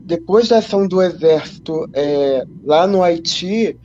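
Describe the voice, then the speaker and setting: Halting high-voiced Brazilian man. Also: a little mellow, dull audio, slight background noise. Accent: Brazilian Portuguese. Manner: halting, many restarts. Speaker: panelist. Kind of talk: discussion.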